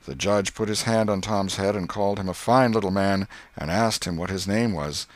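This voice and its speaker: Male audiobook narrator, low pitch, wry measured storytelling, very dry sound. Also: no room sound. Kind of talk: scripted literary storytelling.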